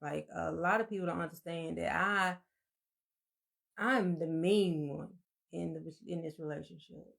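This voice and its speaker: A soft-spoken woman, co-hosting a casual live-stream chat and telling her story in a meandering, reflective way. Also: fairly low pitch, measured pace, creaky.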